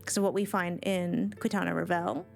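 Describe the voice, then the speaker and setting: A woman's voice: on the low side, fairly even intonation, moderate pace, vocal fry, darkish tone. A woman streamer giving casual live reaction commentary on a game, chatty and musing aloud. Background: game music.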